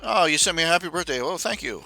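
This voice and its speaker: A man in his forties chatting casually on a live stream, rambling and grumbling in a self-deprecating way while he fiddles with software. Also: on the high side, lively intonation, quick pace, creaky, no room sound, soft background music. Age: 40s.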